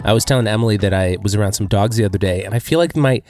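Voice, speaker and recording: A man's voice: on the low side, racing, a little mellow, faint music behind. Throaty man co-hosting a podcast. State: throaty from allergies. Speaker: podcast co-host. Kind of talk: casual roundtable chat.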